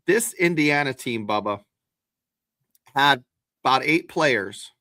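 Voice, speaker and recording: A man's voice: mid-range voice, lively intonation, takes it slowly, pushed and bright, dry recording, clean studio sound. A man co-hosting a sports podcast, explaining his takes in a folksy, casual way. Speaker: podcast co-host.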